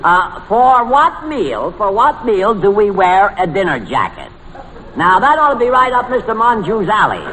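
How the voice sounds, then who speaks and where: A man's voice: high voice, sing-song, moderate pace, pushed and bright, in a large hall, exaggerated voice. A man playing an exasperated straight-man quiz host in 1940s radio comedy. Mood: coaxing. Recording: noisy vintage radio broadcast.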